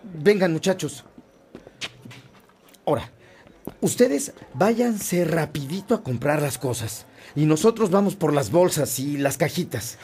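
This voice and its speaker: A man's voice: fairly high pitch, animated, measured pace, darkish tone. A man playing an earnest young seminarian. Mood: brisk, excited. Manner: earnest, persuasive, urging. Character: Rafael, earnest, warm-hearted young male seminarian. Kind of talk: radio drama acting.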